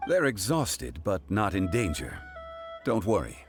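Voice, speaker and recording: A man voicing a calm, dignified former male god in a video game, speaking in a measured, formal way. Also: low voice, full character voice. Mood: composed. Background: game music.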